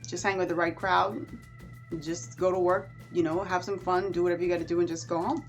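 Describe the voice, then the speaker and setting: A Bahamian woman talks straight to her viewers in a chatty, animated vlog. Her voice sits a little low for a woman. Faint music plays behind her. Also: fairly quick. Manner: chatty and animated, with warm asides. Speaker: vlogger. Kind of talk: casual chat to camera.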